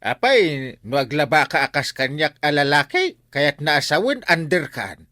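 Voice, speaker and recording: A grumbling, henpecked village husband with an Ilocano accent in a radio comedy. His voice is bright and animated, full of exclamations and hesitant complaints. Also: a little high, normal speed, dry recording, studio quality. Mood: exasperated. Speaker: radio drama actor.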